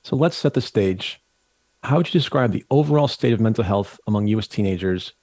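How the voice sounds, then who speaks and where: A man's voice: lowish voice, lively intonation, a little fast, darkish tone, dry recording. A man hosting an interview, putting questions to his guest in a friendly, conversational way. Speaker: podcast host.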